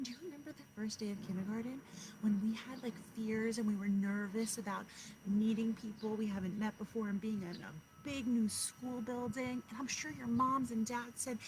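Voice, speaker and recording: A woman kindergarten teacher reading a story aloud outdoors and talking encouragingly to children, often asking them questions. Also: a little high. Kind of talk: children's read-aloud with asides to young listeners. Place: outdoors in a backyard. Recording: clear outdoor background noise. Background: outdoor noise.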